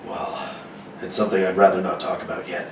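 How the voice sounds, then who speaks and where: A man's voice: sits low, monotone, fairly quick, lightly acted. A man playing a mild-mannered, concerned newspaper reporter in a radio serial read-through, earnest and firm. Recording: echoey room, distant mic, clear noise.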